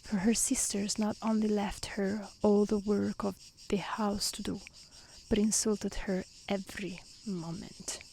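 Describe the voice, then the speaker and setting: A woman softly reading a fairy tale aloud in a gentle, hushed ASMR style that often slips toward a whisper. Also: mid-range voice, little pitch movement, measured pace, creaky, slightly rough, rough recording. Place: outdoors in nature. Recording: faint outdoor hiss with crickets and insects.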